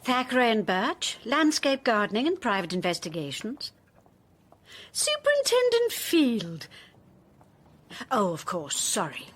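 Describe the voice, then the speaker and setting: An actress playing a brisk, courteous woman at a detective agency in a radio drama, polite and efficient. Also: a little high, wildly swooping pitch, a little hiss or hum. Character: Miss Birch, brisk, courteous woman office partner.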